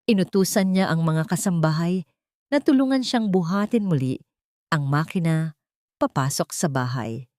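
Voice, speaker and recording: A woman's voice: lowish voice, expressive, moderate pace, a little mellow, dry recording, studio quality. A Filipino woman reading a scripted dramatic story as its narrator.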